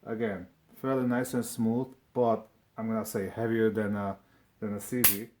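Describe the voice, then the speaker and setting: A man from Slovakia with a Slovak accent presents a casual, unscripted review, speaking haltingly and often repeating his words. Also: fairly low pitch, little pitch movement, a little mellow, a little hiss or hum. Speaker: video presenter reviewing firearms.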